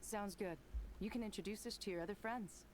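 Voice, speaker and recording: A woman's voice: medium pitch, brisk, noisy background, close to an everyday voice. A woman playing a tough, guarded but friendly young desert adventurer in a video game, speaking plainly and easily. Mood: warm, relaxed.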